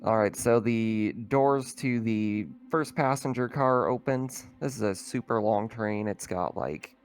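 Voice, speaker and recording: A man's voice: medium pitch, unhurried. A man narrating a tabletop roleplaying game as game master, explaining scenes in a casual, hesitant way.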